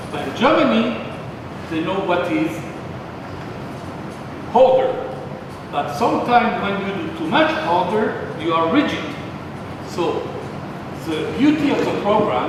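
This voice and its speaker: A man from France with a French accent, speaking off the cuff at a panel and haltingly searching for words. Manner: halting, searching for words in non-native English. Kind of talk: unscripted panel remarks at a seminar. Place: large reverberant seminar hall. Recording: very echoey hall, distant mic, noisy rumble.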